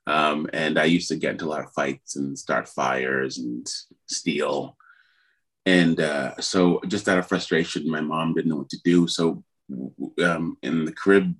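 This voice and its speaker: A middle-aged man from Trinidad, a musician guest telling his story in a rambling, hesitant way with many fillers. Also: rumbling low. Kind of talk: conversational interview.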